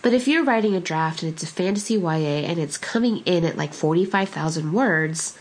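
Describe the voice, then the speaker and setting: A woman hosting a podcast, husky and stuffed up from a summer cold, talking casually with a rambling, self-deprecating manner. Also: fairly low pitch, lively intonation, fairly quick, rough recording. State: congested from a cold. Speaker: podcast host.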